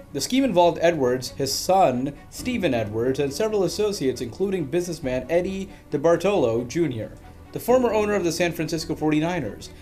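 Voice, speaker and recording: A man's voice: fairly high pitch, darkish tone. Man narrating a dramatic history story. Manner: dramatic storytelling with emphatic pauses. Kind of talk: scripted documentary narration.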